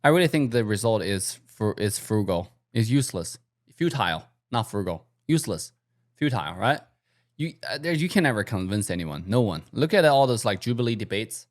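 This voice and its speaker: A man's voice: lowish voice, brisk. A man from China, speaking English as a with slightly phrasing, giving blunt, casual reaction commentary as host. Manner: blunt, animated, slightly non-native phrasing.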